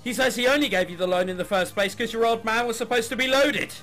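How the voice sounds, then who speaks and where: Crude male henchman for a visual novel, voiced by a man, high-pitched, bullying, jeering. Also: fast, sharp tone, faint music behind, exaggerated voice. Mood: threatening. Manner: mocking, bullying. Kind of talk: visual novel dialogue read aloud.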